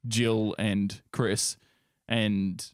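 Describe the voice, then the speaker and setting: A man's voice: lowish voice, flat delivery, extremely slow, clear, resonant tone, no room sound, clean studio sound. A man co-hosting a podcast in a casual, blunt, sweary way. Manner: blunt, profane. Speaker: podcast co-host.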